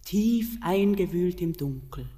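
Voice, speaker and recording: Slow, echoing, otherworldly mountain queen. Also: lowish voice, lively intonation. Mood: tender urging. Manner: solemn, incantatory verse delivery, hushed at times. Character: mysterious otherworldly queen of the mountain, tender and commanding. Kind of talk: classic German radio play acting. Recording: radio play with long hall reverb.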